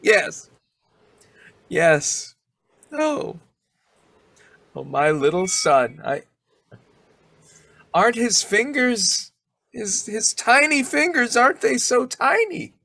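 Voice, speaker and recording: Guy doing overwrought halting dad in old radio play, emotional, pitch all over, dry recording. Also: sing-song, creaky, sharp tone, no room sound, full character voice. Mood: tearful gratitude. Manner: halting, emotional, repeats himself. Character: overwrought, tearful, grateful young father. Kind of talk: old-time radio drama acting.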